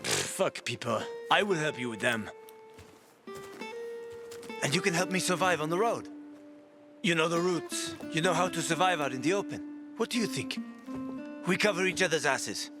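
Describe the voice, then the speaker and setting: A man's voice: a little high, animated, moderate pace, pauses often, over music. A man acting a roguish, easygoing survivor and guide in a video game, breezy and persuasive with a wry edge.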